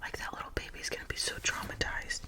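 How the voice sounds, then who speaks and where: A young woman whispering a chatty, rambling makeup tutorial into a close mic, drifting into tangents and softly repeating words. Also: fast talker. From United States. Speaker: ASMR makeup vlogger.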